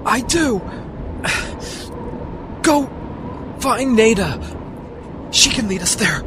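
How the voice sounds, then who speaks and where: A woman's voice: fairly high pitch, lively intonation, takes it slowly, background noise. A woman playing a wounded, desperate adventurer who struggles to speak, in an audio drama. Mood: strained, urgent.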